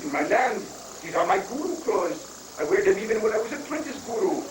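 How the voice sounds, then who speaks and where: A man's voice: high voice, big pitch swings, brisk. A man playing a cheerful, evasive wandering foreign guru obsessed with rock, rambling and mock-pious in a comic stage reading. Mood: chatty, proud. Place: echoey room, mic at a distance. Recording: noisy 1980s live recording, echoey, distant mic.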